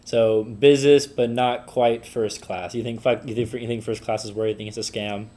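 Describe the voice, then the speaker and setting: A man's voice: medium pitch, fairly even intonation, moderate pace, mellow. A young man from the San Francisco Bay Area hosting a podcast, chatty and eager, with lots of fillers. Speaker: podcast host. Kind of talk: casual interview chat.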